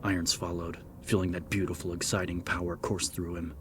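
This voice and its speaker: A man narrating dramatic horror fiction in a grave, measured manner. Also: sits low, flat delivery, noisy background. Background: prominent background music.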